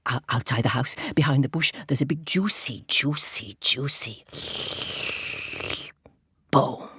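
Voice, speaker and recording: A woman voicing a sly, greedy male fox villain who flatters and coaxes in an oily, drawn-out way. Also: extremely low pitch, a little hiss or hum, voice pushed down, exaggerated voice. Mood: scheming, coaxing.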